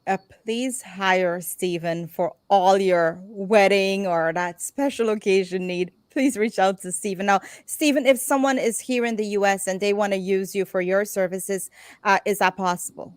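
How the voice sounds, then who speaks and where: A middle-aged woman hosts a live online show in an effusive, heartfelt way. Her voice sits at an ordinary pitch. The recording is dry, with no room echo. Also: steady tone, moderate pace, clean tone. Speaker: talk show host.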